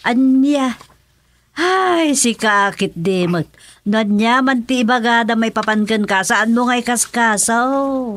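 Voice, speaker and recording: A woman's voice: on the high side, slow, a bit bright. A woman acting a scolding, practical village wife in a radio comedy drama, emphatic and colloquial, with an Ilocano accent. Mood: exasperated.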